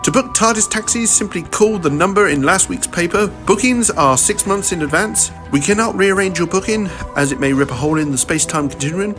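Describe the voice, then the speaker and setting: A man's voice: high voice, fairly quick, hardly any pauses, music in the background. A man reading a comic parody advert as its announcer.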